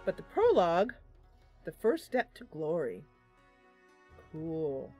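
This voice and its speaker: A woman doing casual live game commentary as a streamer, chatty and a bit goofy, thinking out loud as she goes. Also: lowish voice, sing-song, takes it slowly, breathy edge, soft background music. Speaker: streamer and host of a gaming channel.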